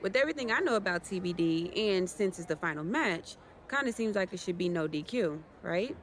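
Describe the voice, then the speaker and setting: A woman's voice: on the low side, expressive, fairly quick, natural voice. A woman playing a brash, competitive champion pro wrestler with a cocky manner in video game cutscene dialogue. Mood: suggesting, sly.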